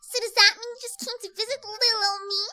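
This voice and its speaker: A woman voicing a bubbly, hyperactive cartoon pony shopkeeper, chattering excitedly in an audio story. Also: very high-pitched, soft background music, full character voice. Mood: cheerful. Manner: excitable, rapid-fire chattering and questioning. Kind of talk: cartoon-style character acting in fan audio story.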